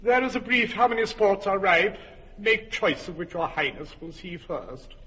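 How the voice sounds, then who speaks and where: Disdainful court functionary: high, very animated, sardonic classical verse in a reverberant hall. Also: male voice, normal speed. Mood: deferential. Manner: mannered, emphatic, sardonic declamation. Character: fussy, disdainful courtly master of revels. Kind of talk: classical stage verse acting. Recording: muffled hall recording.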